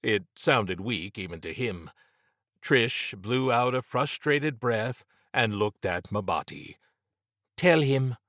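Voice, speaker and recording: Guy narrating a fiction audiobook, takes it slow, lowish voice, dry close mic. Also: lively intonation, sharp tone, no room sound. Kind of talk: scripted dramatic fiction narration.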